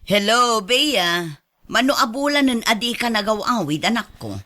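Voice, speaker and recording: A woman's voice: on the high side, lively intonation, moderate pace, pushed and bright. A woman with a Filipino accent playing a proud, doting middle-aged mother in a radio drama, effusive and boastful.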